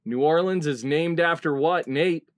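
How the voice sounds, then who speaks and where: Measured, higher-pitched man hosting a quiz. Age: middle-aged. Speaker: quiz show host. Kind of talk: hosting a comedy panel quiz. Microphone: video call.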